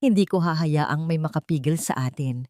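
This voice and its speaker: A woman's voice: low voice, moderate pace, warm tone, dry recording, clean studio sound, close to an everyday voice. Woman voicing an earnest young male lover. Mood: tender. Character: smooth-promising young rich man, a deceitful lover. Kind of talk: audio drama storytelling.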